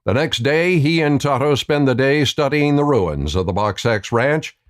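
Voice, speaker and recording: A man's voice: mid-range voice, expressive, moderate pace, close to an everyday voice. A man reading dramatic scripted narration for a western radio play.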